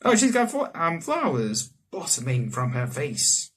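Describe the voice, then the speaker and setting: A man in his late teens hosting a let's play, reading visual novel text aloud and breaking into animated asides. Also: on the high side, unhurried, slightly rough. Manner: animated asides, exclaiming between read passages. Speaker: gaming channel host. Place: small room indoors at home.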